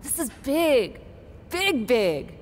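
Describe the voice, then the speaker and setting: A friendly wrestler, echoing hall. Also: female voice, high-pitched, very animated, drawn-out words, a little hiss or hum, exaggerated voice. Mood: encouraging. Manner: friendly, teasing. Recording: distant, reverberant hall.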